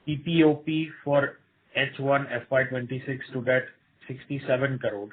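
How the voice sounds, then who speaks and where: A man with an Indian accent, a company executive reading out a financial report in a formal, measured manner. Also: mid-range voice, steady tone. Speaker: company executive presenting results. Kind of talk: scripted financial report on an earnings call. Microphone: telephone conference line. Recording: tinny narrow phone line.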